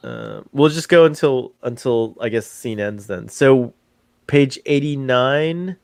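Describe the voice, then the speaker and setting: A man hosting a live stream, chatting casually in an excitable, rambling way and repeating himself. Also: mid-range voice, measured pace, creaky, dry recording. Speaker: stream host.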